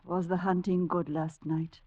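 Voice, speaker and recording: A suspicious wife, dry muffled sound. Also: female voice, fairly low pitch, little pitch movement, a little slow, warm tone, dry recording. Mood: suspicious, anxious. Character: Kira, suspicious, reproachful, watchful wife. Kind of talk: old-time radio drama acting. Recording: dull vintage radio recording.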